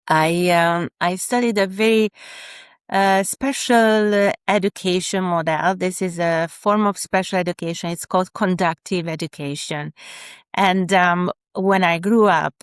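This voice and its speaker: Slow, smooth-voiced Hungarian-accented woman. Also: lowish voice, dry recording, clean studio sound. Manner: hesitant, many fillers and restarts. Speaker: guest, special educator. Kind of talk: podcast interview.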